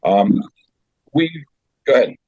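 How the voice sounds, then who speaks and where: An older man being interviewed as a guest over a remote call, explaining things in a measured, halting way. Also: mid-range voice, lively intonation, darkish tone, slightly rough, no echo. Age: older, 70s or so. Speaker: guest from a community theater group. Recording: dull, band-limited call audio.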